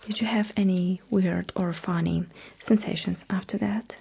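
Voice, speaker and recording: Female ASMR roleplayer as a polite, deadpan-witty battlesuit technician; whispered, unhurried delivery with some creak. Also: lowish voice, a little hiss or hum. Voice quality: breathy whisper. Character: courteous, dryly witty alien mecha technician. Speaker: ASMR performer.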